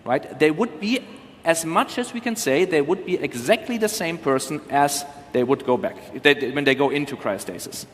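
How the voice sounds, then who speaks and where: A man's voice: a little high, expressive, normal speed, slight background noise. A man explaining things as a conference panel speaker, in a careful, qualified manner. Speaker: panel speaker, cryopreservation company representative. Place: large conference hall. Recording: reverberant hall sound.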